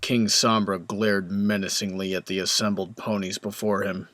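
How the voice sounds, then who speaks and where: A man's voice: fairly low pitch, level tone, moderate pace, a bit bright, dry recording, clean studio sound. A man narrating a scripted comedic story as the narrator between characters' lines.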